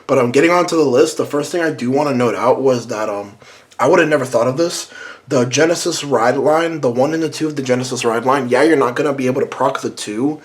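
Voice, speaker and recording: A hoarse man casually reviewing a card game deck to camera, rambling with lots of "um" and "like". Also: medium pitch, a little fast. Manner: rambling, many "um" and "like" fillers.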